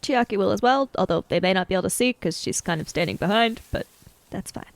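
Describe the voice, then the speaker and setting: A woman's voice: mid-range voice, fast talker, dry recording. A woman taking part in a tabletop role-playing game, chatting casually and describing what her character does.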